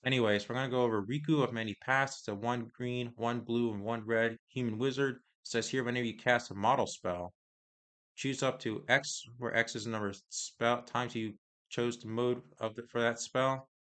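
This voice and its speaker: A man with a bright, ringing voice talks through new trading cards in a relaxed review, reading card text aloud and then giving his take. He talks haltingly, with pauses and restarts, and his voice is going a little hoarse. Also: medium pitch. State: voice getting hoarse.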